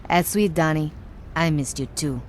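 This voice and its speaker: Woman playing a low-voiced, deadpan gang leader. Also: normal speed, slight background noise, close to an everyday voice. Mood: cold, threatening. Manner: deadpan, dry, foul-mouthed. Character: tough, sardonic female street gang leader. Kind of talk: dramatic video game cutscene acting.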